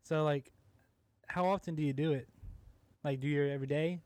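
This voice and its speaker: A man's voice: fairly high pitch, steady tone, normal speed, a little mellow, dry recording. A young man hosting a casual podcast who asks loose follow-up questions and laughs easily. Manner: laid-back interviewer, asks loose questions, laughs often. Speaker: podcast host. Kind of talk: casual chat.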